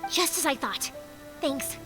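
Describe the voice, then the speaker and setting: Lively girlish anime game heroine, high pitched, swings all over, music playing behind. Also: measured pace. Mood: worried, determined. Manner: animated, expressive, girlish. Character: lively, kind-hearted young woman who makes fireworks. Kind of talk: anime-style game voice acting.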